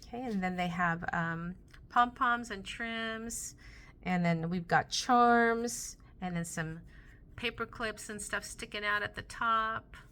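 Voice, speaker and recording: A woman hosting a casual crafting vlog, chatty and rambling with many fillers, a little hoarse from losing her voice. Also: mid-range voice, slow pace. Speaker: crafting channel host. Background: soft Christmas music.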